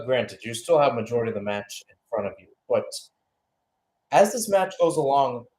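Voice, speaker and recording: A man's voice: medium pitch, low-quality recording. Male football play-by-play commentator, conversational. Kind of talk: live radio sports commentary.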